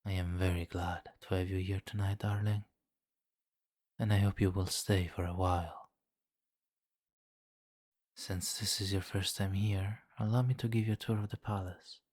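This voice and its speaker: Man playing a deep-voiced, suave vampire king. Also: level tone, dry recording, clean studio sound. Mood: courteous, charming. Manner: formal, deliberate, softly imperious. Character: suave, commanding centuries-old male vampire king, courtly and menacing. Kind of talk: ASMR fantasy roleplay.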